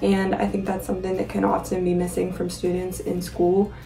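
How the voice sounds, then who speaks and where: A young college woman from Kentucky speaks in a mellow, slightly gravelly voice with flat intonation. She sounds even and thoughtful, with soft music faintly behind her. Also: fairly low pitch, fairly quick, reverberant, distant mic, rough recording. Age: young adult. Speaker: student. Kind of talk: scripted testimonial.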